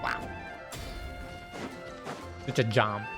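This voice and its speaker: A man's voice: lowish voice, fairly even intonation, extremely slow, pushed and bright. A man giving casual, informal reactions while playing a video game, with muttered asides. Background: faint game music.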